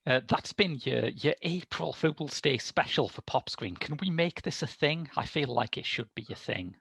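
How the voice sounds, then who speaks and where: A man's voice: a little high, lively intonation, normal speed, vocal fry, a bit bright, slightly rough, no echo. A man in his thirties hosting a film review podcast with a dry, wry, wordy delivery on a headset mic. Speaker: podcast host. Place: small domestic room, indoors.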